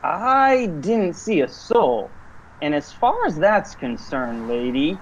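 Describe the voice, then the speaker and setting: Radio play hitchhiker: man playing a blunt, colloquial drifter, very animated intonation, slight background noise. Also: a little high, takes it slowly, dull audio, exaggerated voice. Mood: baffled, wary. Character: brash, folksy, easygoing hitchhiker turning wary. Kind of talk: amateur radio thriller acting.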